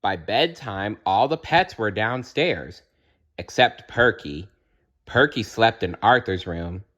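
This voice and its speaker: A man reading a children's story aloud as narrator, with expressive delivery. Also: lowish voice, slow pace, highs cut off. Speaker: storybook reader.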